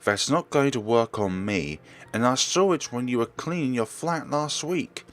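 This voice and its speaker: A man's voice: mid-range voice, expressive, unhurried, pitched above the performer's own voice, close to an everyday voice. Man reading as a fussing, motherly young woman, lilting. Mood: nagging concern. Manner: scolding affectionately. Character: motherly, fussing young woman teacher, kind but nagging. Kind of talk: visual novel dialogue read aloud.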